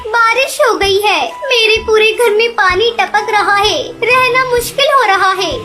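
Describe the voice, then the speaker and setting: Cartoon mother bird: extremely high pitch, earnest and pleading, poor but kind. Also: unhurried. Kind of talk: children's cartoon story voice acting.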